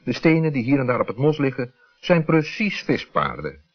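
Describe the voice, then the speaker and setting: Husky, expressive male storyteller. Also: mid-range voice, normal speed. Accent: Netherlands Dutch. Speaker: storyteller, narrator. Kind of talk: scripted children's story narration. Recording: muffled vintage radio recording.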